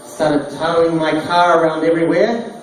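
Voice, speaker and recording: A man doing stand-up one-liners in a deadpan delivery. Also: on the high side, normal speed. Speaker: stand-up comedian. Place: small bar stage, roomy and echoey. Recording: echoey distant bar recording, noisy. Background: small crowd laughing, bar noise.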